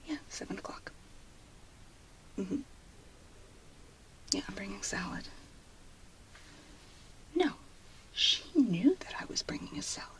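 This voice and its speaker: A woman speaks in a breathy whisper, in the style of an ASMR role play. Her delivery is slow and soft, broken into short murmured phrases with pauses between them. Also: mid-range voice, sing-song, poor audio. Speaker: ASMR performer.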